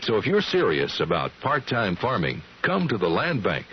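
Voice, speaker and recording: Old radio ad guy, lowish voice, lots of rise and fall, bit hissy. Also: wildly swooping pitch, moderate pace, lo-fi sound. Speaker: commercial announcer. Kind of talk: scripted radio advertisement.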